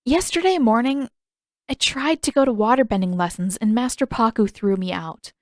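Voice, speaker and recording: A woman's voice: a little high, normal speed, a little mellow, no room sound, clean studio sound, lightly acted. A woman voicing an earnest, eager teenage girl in a fantasy audiobook, with a frank and informal manner. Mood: hesitant, earnest.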